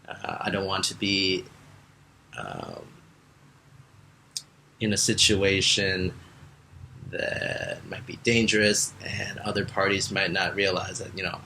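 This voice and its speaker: A young American man speaking as a Peace Corps volunteer in a relaxed interview, with lots of fillers. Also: low-pitched, vocal fry, a little hiss or hum, poor audio. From United States. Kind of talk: casual interview answers.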